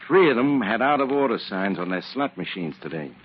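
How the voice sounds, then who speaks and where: A man's voice: lowish voice, lively intonation, brisk, slightly rough. An actor playing a tough, coolly ruthless gangster henchman with slangy, ungrammatical speech in an old-time radio drama. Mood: wary. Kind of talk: 1940s radio crime drama acting. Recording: muffled, noisy old radio recording.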